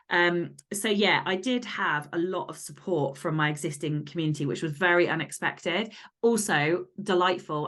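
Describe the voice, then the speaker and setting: Candid woman with a lowish voice. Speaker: guest author and podcaster. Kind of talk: casual interview chat.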